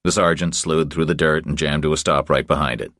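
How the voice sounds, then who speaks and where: A man's voice: a bass voice, fairly even intonation, a little fast, fairly bright tone, no room sound, clean studio sound. A man reading a scripted thriller narration as an audiobook narrator.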